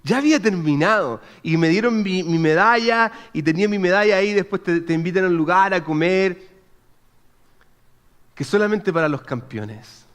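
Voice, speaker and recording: A man in his thirties from Chile with a Chilean accent, preaching in a chatty, animated way and checking in with his listeners as he tells a personal story. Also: high voice, moderate pace. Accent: Chilean Spanish. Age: 30s. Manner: chatty and animated, frequent restarts and "¿ok?" check-ins. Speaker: pastor.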